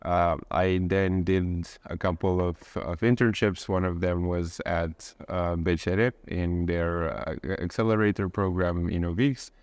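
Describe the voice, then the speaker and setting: Low-voiced young man, halting speech. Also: steady tone, darkish tone, smooth, dry recording. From Romania. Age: 20s. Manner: repeats words and restarts often. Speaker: guest. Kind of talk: casual interview.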